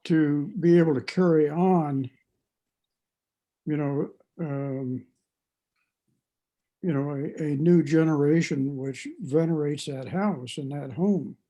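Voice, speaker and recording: A man with a very dark, mellow tone and a slightly high pitch gives his view in a book discussion. He speaks slowly and haltingly, searching for the right words. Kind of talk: reflective opinion in group discussion.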